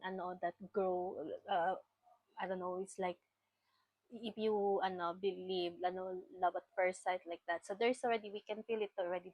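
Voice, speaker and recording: Filipina woman, late 30s, Filipino accent; halting, filler-heavy personal vlog talk; mid pitch, dead-dry room. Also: no room sound, low-quality recording. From Philippines. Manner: chatty, halting, with Filipino fillers and frequent restarts. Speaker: vlogger. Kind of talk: casual personal storytelling.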